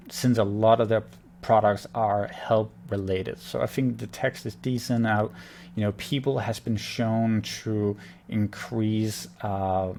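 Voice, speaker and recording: A man's voice: on the low side, gravelly, a little mellow, slight background noise. A man giving an unscripted, blunt and opinionated screen-share critique as a marketing consultant. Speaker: conversion-rate marketing consultant.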